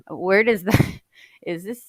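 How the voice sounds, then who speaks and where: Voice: mid-range voice, very slow, no room sound. A podcast host chatting casually, reacting with disbelief and laughing often. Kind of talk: casual online panel chat.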